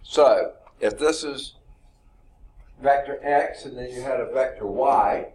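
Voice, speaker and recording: A man's voice: mid-range voice, slow pace, darkish tone. A man teaching a math class in a classroom, sounding stuffed up from sneezing, talking conversationally and often checking in with "okay". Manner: conversational, repeats points, says okay often. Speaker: math instructor. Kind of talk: classroom lecture.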